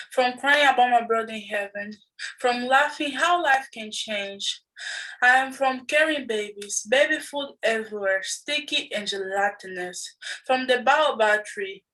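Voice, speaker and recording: A woman's voice: fairly high pitch, pushed and bright. A woman reading her own poem aloud in an earnest recitation. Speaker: student poet. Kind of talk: poetry reading. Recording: distant mic.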